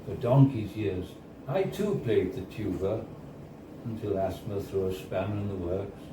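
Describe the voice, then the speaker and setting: A man reads a first-person story aloud at a live event in a bar, in a measured storytelling manner with deliberate pauses. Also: fairly low pitch. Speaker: reader of a short story. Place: indoors at a bar, live reading. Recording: roomy, distant, noisy live bar recording. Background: clear background noise and room ambience.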